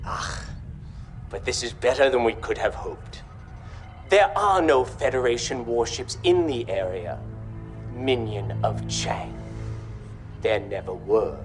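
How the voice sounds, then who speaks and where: An actor playing a treacherous, gloating Klingon brigadier in a sci-fi game, with a menacing, theatrical delivery. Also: male voice, medium pitch, lively intonation, slow pace, pauses often, faint music behind. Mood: smug, menacing. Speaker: villain officer. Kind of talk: dramatic sci-fi game acting.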